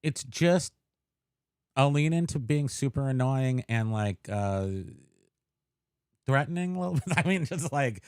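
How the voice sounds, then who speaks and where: A man's voice: mid-range voice, unhurried, pauses often, clear, resonant tone, dry recording, studio quality. A man, co-hosting a podcast in casual, joking chat and slipping into silly put-on voices. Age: 50s. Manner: jokey, animated, slips into silly put-on voices. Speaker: podcast co-host.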